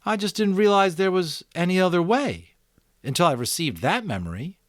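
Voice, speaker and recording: Guy doing an earnest curious kid for an audiobook, pitch hops around, thinking out loud, dry close sound. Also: big pitch swings, no room sound. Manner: eager, questioning, halting as he reasons. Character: Jonas, earnest curious young boy thinking aloud. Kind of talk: audiobook character voice.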